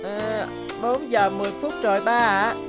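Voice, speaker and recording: A woman's voice: mid-range voice, steady tone, normal speed, loud music behind the voice, natural voice. A woman voicing a hesitant, pleading young boy in a cartoon. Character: pleading young boy out fishing with his father. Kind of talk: cartoon dub acting.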